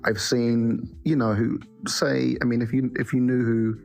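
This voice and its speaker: A man in a casual live discussion, pressing others with pointed questions and many halting restarts. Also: medium pitch, little pitch movement, gravelly, darkish tone. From half West African parentage. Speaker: live stream participant. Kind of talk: casual debate.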